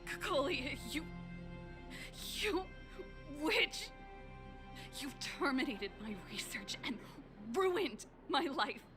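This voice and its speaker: High voiced hurt sister type from a game, slow dramatic lines, music behind. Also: female voice, big pitch swings, exaggerated voice. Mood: bitter, anguished. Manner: dramatic, pained, emphatic. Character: wounded, bitter younger woman researcher, an older sister. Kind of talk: video game voice acting.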